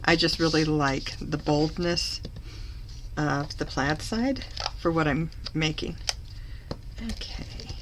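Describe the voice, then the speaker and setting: A woman demonstrating a papercraft project in a live tutorial, her voice a little hoarse from a lingering cough. She talks slowly and chattily as she works, correcting herself as she goes, with a fairly low voice and steady noise under the recording. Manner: chatty, self-correcting, talks while working. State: recovering from a cough, slightly hoarse.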